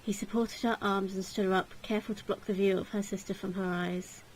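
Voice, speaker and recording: A woman's voice: medium pitch, steady tone, fairly quick, darkish tone, low-quality recording. Woman narrating dramatically, slight background noise. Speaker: narrator, game player reading aloud.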